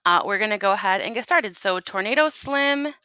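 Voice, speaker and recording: Animated, bright-voiced woman reading a story. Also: mid-range voice, a little fast, clear, resonant tone, no echo, clean studio sound. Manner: expressive, folksy storytelling. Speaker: teacher reading a story aloud. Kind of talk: animated picture-book read-aloud to listeners.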